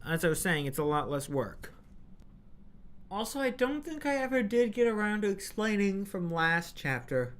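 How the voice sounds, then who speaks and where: A young woman with hiccups recording audio drama narration, restarting lines and breaking into rambling, mildly exasperated asides. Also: low voice. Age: young adult. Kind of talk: blooper outtakes, scripted narration with chatty asides.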